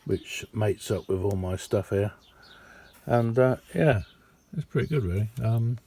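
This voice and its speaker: A middle-aged man, giving a casual, halting and unhurried hands-on demonstration as a radio hobbyist. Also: lowish voice, lively intonation, warm tone, slightly rough. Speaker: radio hobbyist showing a homemade receiver. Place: indoor hobby radio room.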